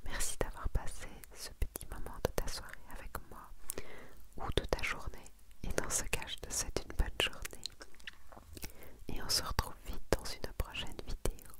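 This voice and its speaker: Whispering woman, ASMR host. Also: moderate pace. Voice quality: breathy, unvoiced whisper. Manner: soft, intimate, addresses one listener informally. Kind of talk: whispered ASMR, chatting and reading out manga summaries.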